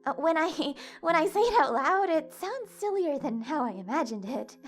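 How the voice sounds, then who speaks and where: Woman voicing anxious young female character, fairly high pitch, halting speech, flustered, audio drama, background music. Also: lively intonation. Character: anxious young woman, tired, earnest gamer.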